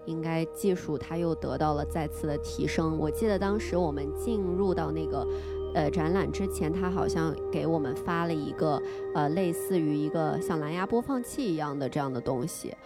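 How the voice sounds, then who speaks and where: A woman's voice: low-pitched, normal speed. Woman co-hosting a podcast over music. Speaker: podcast co-host. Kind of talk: casual podcast chat. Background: music behind the voice.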